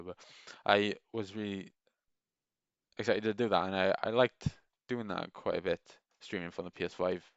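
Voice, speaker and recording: A man's voice: deep voice, a bit bright, smooth, no echo, muffled recording. A man talking in a casual, meandering monologue, enthusiastic and full of restarts. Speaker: gaming video creator.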